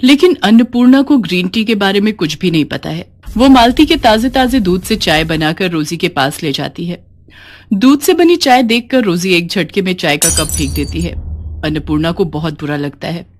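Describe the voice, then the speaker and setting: A woman's voice: on the low side, expressive, moderate pace. A woman narrating a scripted moral story as a storyteller.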